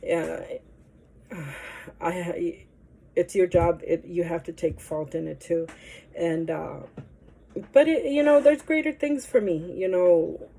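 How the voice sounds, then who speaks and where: A woman talks to her camera in a loose, meandering way, full of pauses and fillers. Her nose is stuffed up from allergies, and her low voice has a mellow, soft tone. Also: gravelly, low-quality recording. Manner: rambling, hesitant, many fillers. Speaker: vlogger. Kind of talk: casual chat to camera.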